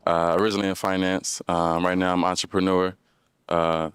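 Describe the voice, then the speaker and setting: Male contestant, 30s, very deep and smooth voice, hesitant answers full of ums. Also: flat delivery, fairly quick, dry recording, clean studio sound. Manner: hesitant, many ums and uhs, searching for words. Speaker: dating show contestant. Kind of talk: unscripted answers on a dating show.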